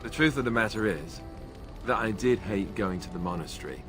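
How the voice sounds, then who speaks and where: Male actor as young warrior, lowish voice, wry and self-deprecating, awkwardly earnest, game dialogue, music behind. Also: animated, brisk, close to an everyday voice. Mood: reflective. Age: young adult. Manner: wry, sarcastic, awkwardly hesitant. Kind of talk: video game dialogue acting.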